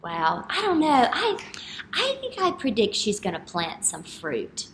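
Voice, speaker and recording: Animated, unhurried woman teacher reading to children. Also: fairly high pitch. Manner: expressive, questioning, addressing young children. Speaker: elementary school teacher. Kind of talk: children's read-aloud and retelling lesson. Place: indoor classroom. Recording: clear background room noise.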